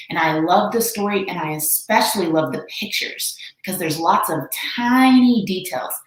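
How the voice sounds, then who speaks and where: A woman children's librarian presenting a storytime read-aloud with expressive, emphatic delivery. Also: mid-range voice. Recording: very echoey room.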